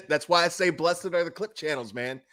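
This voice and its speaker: A man with a slightly high voice talks off the cuff like an online streamer. His delivery is blunt and emphatic, with coarse, opinionated phrasing in a casual commentary style. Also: normal speed, pushed and bright. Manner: blunt, foul-mouthed, emphatic.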